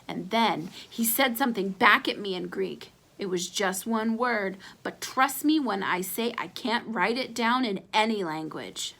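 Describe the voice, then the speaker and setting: A woman reading a children's chapter book aloud as an expressive storyteller. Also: mid-range voice, measured pace, creaky, hardly any pauses.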